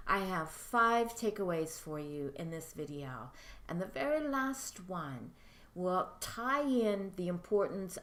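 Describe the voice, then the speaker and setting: Soft-voiced woman teaching, echoey room. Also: lowish voice. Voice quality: soft-spoken. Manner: friendly, chatty, with fillers. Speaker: mediumship teacher. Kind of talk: casual instructional talk to camera.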